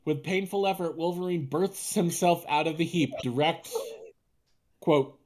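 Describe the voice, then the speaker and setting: A woman's voice: fairly low pitch, a little slow, darkish tone. A sardonic woman hosting a comedic live table read, narrating theatrically and bantering. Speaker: podcast host and script narrator.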